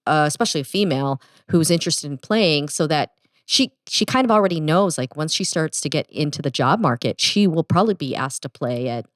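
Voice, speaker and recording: A woman's voice: low-pitched, animated, brisk, no room sound, clean studio sound. A middle-aged woman with a Midwestern accent, co-hosting a casual podcast chat and rambling with restarts and fillers. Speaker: podcast co-host.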